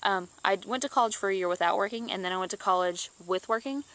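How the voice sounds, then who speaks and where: A woman's voice: mid-range voice, fast talker, a bit bright. Stuffy-nosed young woman, rambling. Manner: rambling, many restarts and fillers. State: stuffed up from allergies. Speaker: YouTuber. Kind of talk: casual chat to camera.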